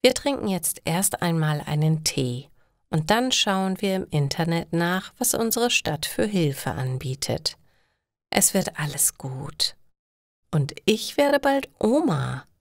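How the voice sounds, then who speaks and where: A caring middle-aged mother, voiced by a woman narrator, gentle and practical. Her storybook lines are slow and encouraging, recorded dry and close. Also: fairly low pitch, sing-song, no room sound, heavily acted. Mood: reassuring. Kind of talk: acted dialogue in a slow learner's audio story.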